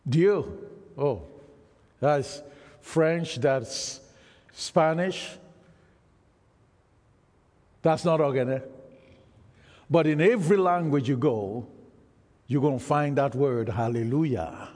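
A man's voice: on the high side, takes it slowly, long pauses, darkish tone. A man, Isoko his tongue, preaching a sermon and engaging the congregation with questions. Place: church hall. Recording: reverberant hall.

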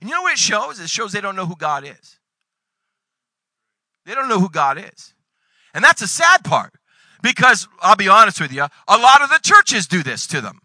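A man in his forties preaching a sermon, reading scripture and breaking into sudden loud bursts. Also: high-pitched, very animated, normal speed, long pauses, no echo. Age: 40s. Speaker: pastor.

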